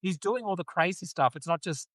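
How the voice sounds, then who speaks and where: A man's voice: high-pitched, fairly quick, a bit bright, no echo, clean studio sound. A man with an Australian accent hosting a podcast, talking casually about politics with frequent restarts. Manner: conversational, frequent restarts and agreeing. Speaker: podcast host.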